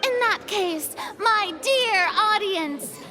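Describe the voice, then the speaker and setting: A woman's voice: very high voice, animated, slow pace, pushed and bright, a faint crowd, heavily acted. A woman playing a haughty, gloating young goddess in a video game, with pompous, theatrical, mocking delivery. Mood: triumphant. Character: haughty, gloating young female deity relishing a courtroom show.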